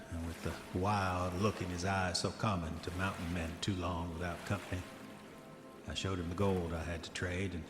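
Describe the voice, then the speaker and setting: A man's voice: deep voice, natural voice. An actor playing a haunted, hot-tempered prospector confessing in his diary, with formal old-fashioned, brooding delivery in a horror audio drama. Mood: solemn, recounting. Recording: echoey voice with long reverb, music behind. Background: music.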